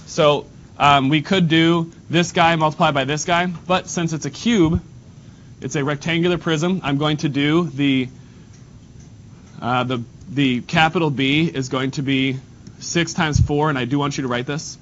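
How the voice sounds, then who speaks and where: A nasal man teaching a math class, his voice a bit higher than most. The recording is dull and lo-fi, with a faint rumble behind him. He talks casually and breaks off to speak to students. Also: fairly even intonation, unhurried, fairly bright tone. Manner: casual, talks to students while drawing on the board. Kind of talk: classroom lesson.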